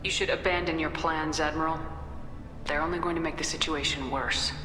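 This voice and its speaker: A woman's voice: low-pitched, steady tone, brisk, natural voice. A woman playing a blunt, defiant female starship commander in a video game. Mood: urgent, firm. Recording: echoey hall reverb, music behind.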